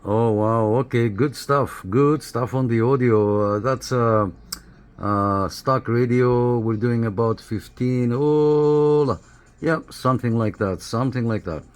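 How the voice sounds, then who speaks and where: A man giving a casual hands-on equipment review in a halting, informal, explanatory way. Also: medium pitch, clean tone. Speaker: radio hobbyist reviewer. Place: small apartment room.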